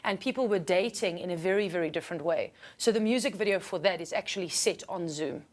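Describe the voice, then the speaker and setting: A woman with a South African accent and a low register, talking casually and earnestly as a singer being interviewed. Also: quick pace. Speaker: singer and musician guest.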